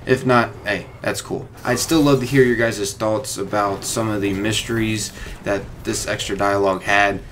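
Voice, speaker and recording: A man's voice: fairly low pitch, fairly even intonation, normal speed, a bit bright, a little hiss or hum. A young man hosting a gaming video with informal, conversational commentary.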